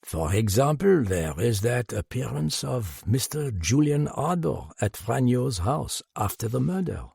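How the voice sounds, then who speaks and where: A man acts out a bearded French detective from a mystery audiobook, cool and cryptic. He speaks with measured authority in a husky, creaky voice. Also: medium pitch, big pitch swings, a little mellow, no room sound, studio quality, exaggerated voice. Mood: cool, probing.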